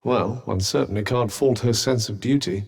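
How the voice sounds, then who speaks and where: An actor playing a wry, sardonic talking bracelet that serves as a game companion, with dry, teasing remarks. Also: male voice, medium pitch, moderate pace, gravelly, a little mellow, slightly rough, big-room sound. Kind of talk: video game companion voice lines.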